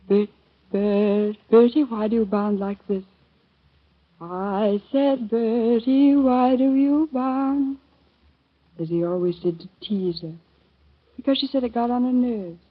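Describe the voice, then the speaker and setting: An actress playing a calm, sly teenage girl telling a grave tale, in 1930s radio acting style. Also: mid-range voice, breathy edge. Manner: composed, soft, grave. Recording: thin phone-like old radio recording.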